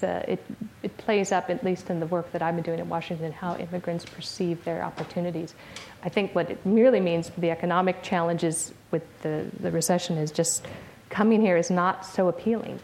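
A woman's voice: fairly low pitch, fairly even intonation, moderate pace, creaky, darkish tone, a little hiss or hum, low-quality recording. A woman giving an academic talk to an audience in a conversational way, with frequent fillers and restarts. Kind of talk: academic lecture with audience questions. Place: lecture room with audience.

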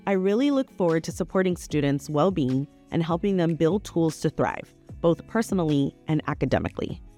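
A woman's voice: deep voice, big pitch swings, a little fast, mellow, soft background music. A woman counselor giving an earnest, reassuring scripted introduction.

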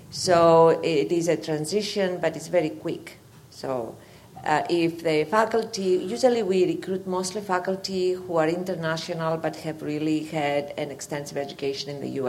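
Low Greek-accented woman, noisy live recording. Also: darkish tone. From Greece. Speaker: university faculty member. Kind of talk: spontaneous comment in a public discussion.

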